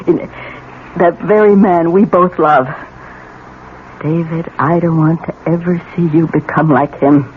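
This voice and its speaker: An actress playing a bitter, lonely middle-aged wife and mother in a theatrical, halting 1970s radio drama style. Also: fairly low pitch, close to an everyday voice. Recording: tinny, noisy old radio broadcast.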